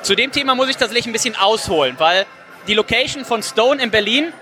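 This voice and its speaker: A man's voice: very high voice, animated, quick pace, sharp tone, soft background music. A man interviewing a guest, speaking fluently and asking long, enthusiastic questions.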